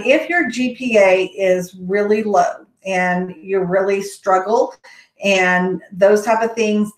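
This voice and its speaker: A woman with a Texas accent hosting a casual question-and-answer session on college admissions, rambling with frequent ums and restarts. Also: medium pitch. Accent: Texan. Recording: echoey room.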